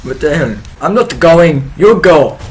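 A young man giving joking commentary over his own gameplay in a put-on, broken-English foreign accent, breaking into loud excited yelps. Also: on the high side, a little slow. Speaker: streamer.

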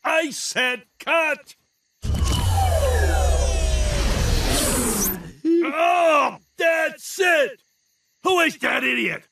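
An actor playing a bossy, excitable older male film director in a cartoon, barking orders theatrically. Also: extremely high pitch, extremely slow, vocal fry, raised voice, far from the mic, background noise, full character voice. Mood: furious. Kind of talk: cartoon voice acting.

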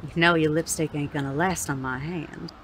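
An actress playing a tough, foul-mouthed Wild West saloon madam in an audio drama, blunt and dry with a Western American drawl. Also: female voice, deep voice, fairly even intonation, normal speed, fairly bright tone, a little hiss or hum. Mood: hostile, cutting.

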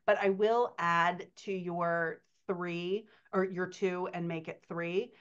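A woman in her thirties talks shop as a writing coach on a video call, chatty and quick to laugh. Her voice sits fairly low. The call audio is dull and lo-fi. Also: smooth. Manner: chatty, laughs often, animated storytelling. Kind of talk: casual podcast chat over video call. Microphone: computer mic on video call.

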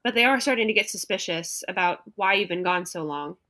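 A woman's voice: fairly low pitch, animated, fairly quick, pushed and bright. An actress playing a hurt, pointed young ex-girlfriend and coworker in a radio play. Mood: resentful. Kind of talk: radio play acting, naturalistic dialogue.

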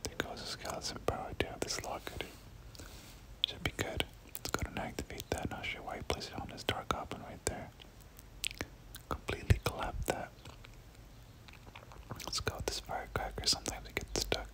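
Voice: moderate pace, long pauses, poor audio. A person whispering casual, slangy ASMR gameplay commentary, muttering reactions and repeating short phrases. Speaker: gaming video creator.

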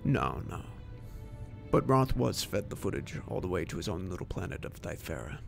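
A man's voice: deep voice, sing-song, normal speed, creaky, faint music behind, exaggerated voice. A man playing a weary, reflective space traveler telling his tale in a science fiction audio drama.

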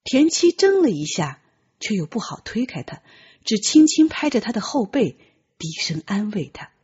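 A woman narrating a novel as an audiobook, with measured, expressive dramatic storytelling. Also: medium pitch, husky, lo-fi sound.

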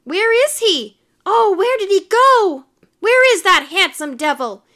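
A woman voicing pushy, lovestruck village ladies in a storybook, calling out insistently with drawn-out cries. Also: very high voice, sing-song, a bit bright, voice pushed up, full character voice. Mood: frantic searching.

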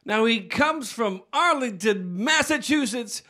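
A man's voice: very high-pitched, lively intonation, unhurried. A man hosting a TV talk show, announcing the next guest as an upbeat showman into a handheld mic in a studio. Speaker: TV show host. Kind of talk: introducing a guest. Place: TV studio stage with live audience. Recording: loud crowd and noise behind.

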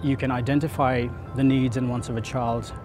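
A man's voice: medium pitch, flat delivery, fairly quick, music in the background. A man in his thirties from Fiji, a photographer answering interview questions outdoors on a lapel mic in an earnest, thoughtful way, often repeating words as he thinks. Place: outdoor terrace above a city.

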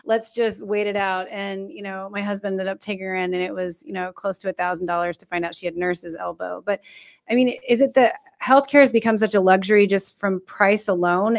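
A woman with a mid-range voice hosts a panel podcast. She talks in a loose, chatty way, full of asides. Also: mid-range voice. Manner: conversational, chatty. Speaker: podcast host. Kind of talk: casual panel chat.

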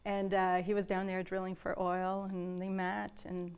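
A woman's voice: medium pitch, level tone, moderate pace, a little mellow, clear, resonant tone. A woman in her thirties from Brazil, a guest in a studio interview, telling stories in a chatty, easy way on a lapel mic. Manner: chatty storyteller, laughs often. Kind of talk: casual interview chat. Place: dark television studio.

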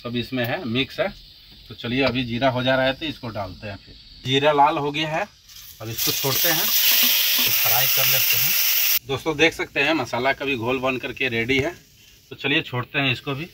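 A man's voice: medium pitch, normal speed, background noise. A man from India narrating a casual trucking and cooking vlog step by step, addressing viewers as friends. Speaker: truck driver vlogger.

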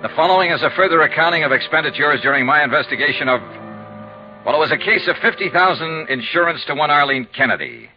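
A man's voice: medium pitch, close to an everyday voice. An American actor playing a tough, matter-of-fact insurance investigator who narrates his case in a 1950s radio drama. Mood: dry, narrating. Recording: muffled 1950s radio recording with music.

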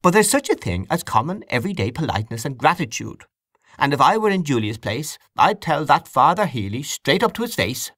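Elderly aunt played by a male reader, fiery and indignant, high swooping pitch, insistent scolding, storybook dialogue. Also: huge pitch swings, moderate pace, a bit bright, no room sound, studio quality. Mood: hasty, insistent. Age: older. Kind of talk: acted audiobook dialogue.

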